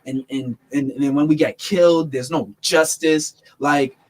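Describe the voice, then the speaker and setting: Animated, emphatic young man, fairly high voice. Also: unhurried. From United States. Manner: animated, emphatic, repeats words while building points. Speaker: podcast host. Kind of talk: casual podcast discussion.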